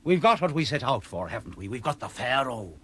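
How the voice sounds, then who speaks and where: Scheming, persuasive male villain, wildly swooping pitch. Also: very animated, fairly quick, fairly bright tone, husky. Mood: cold, coaxing. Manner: cajoling, dismissive, manipulative. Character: scheming, persuasive tomb-robbing man, ruthless and greedy. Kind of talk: radio drama acting.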